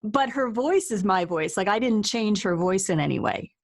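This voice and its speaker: A woman's voice: mid-range voice, big pitch swings, moderate pace, gravelly. Chatty woman hosting a casual interview. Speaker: interview host and author.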